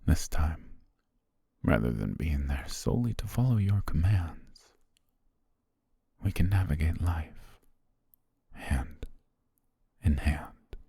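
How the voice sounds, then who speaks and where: A man playing a deep-voiced, possessive butler groom. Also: lively intonation, takes it slowly, vocal fry, pauses often, husky, no echo, full character voice. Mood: tender, hushed. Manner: soft, formal, half-whispered delivery. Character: devoted male butler wedding his princess, quietly controlling. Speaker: ASMR roleplay voice actor. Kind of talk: intimate ASMR roleplay monologue.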